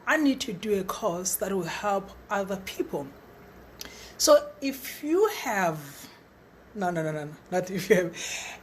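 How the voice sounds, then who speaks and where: A middle-aged woman with a husky voice giving an eager, encouraging webinar talk as a trainer, stumbling and repeating words now and then. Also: mid-range voice, slight background noise. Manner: stutters at times, repeats words; enthusiastic, encouraging. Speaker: facilitator and trainer.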